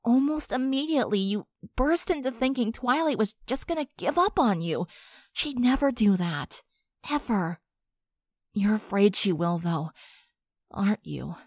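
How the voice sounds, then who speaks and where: A woman's voice: a little high, lively intonation, unhurried, a little mellow, no room sound, studio quality. A woman playing a firm but caring young female unicorn, frank and soothing with her friend. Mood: firm, concerned.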